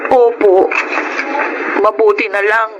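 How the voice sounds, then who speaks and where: A woman's voice: mid-range voice, little pitch movement, slow pace, highs cut off, close to an everyday voice. A woman playing a poor, anxious widowed mother with a Filipino accent, pleading and deferential, in emotional radio-style drama. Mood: subdued. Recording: noisy radio drama with background ambience.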